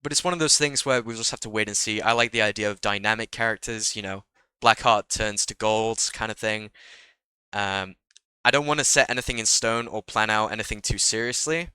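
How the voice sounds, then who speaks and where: A man's voice: on the low side, steady tone, fairly quick, pushed and bright, smooth, no room sound. A young man vlogging casually about joining a roleplay server, a little nasal from a cold, chatty and self-deprecating. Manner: chatty, self-deprecating, laughs at own jokes. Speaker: Minecraft roleplay creator. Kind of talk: casual solo vlog talk.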